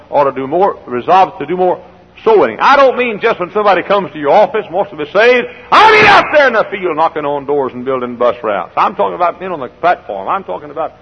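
Older preacher guy, froggy raspy voice, hollers then goes quiet, dull old church tape. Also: on the high side, long reverb tail. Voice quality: gravelly, froggy voice. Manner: swings from hollering exhortation to quiet storytelling, repeats phrases for emphasis. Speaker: pastor. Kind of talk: sermon. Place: large church sanctuary.

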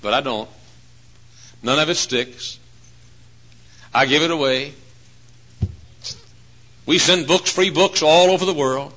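A man in his forties preaching a folksy, humorous sermon, swinging between hushed asides and loud emphatic points. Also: fairly high pitch, slow pace, long pauses. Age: 40s. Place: church.

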